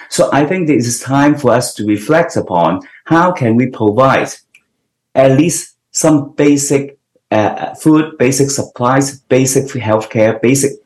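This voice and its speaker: A man's voice: mid-range voice, a little mellow. Older male guest from Hong Kong, earnest and explanatory, recorded in a room with noticeable echo. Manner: earnest, explanatory, with frequent restarts. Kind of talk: interview answers. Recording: roomy echo.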